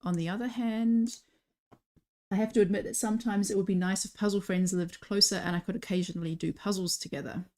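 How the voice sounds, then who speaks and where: A woman's voice: mid-range voice, steady tone, fairly quick, a little mellow. Chatty woman. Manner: chatty, reads viewer comments aloud. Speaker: commentator co-host. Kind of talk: live-stream event commentary.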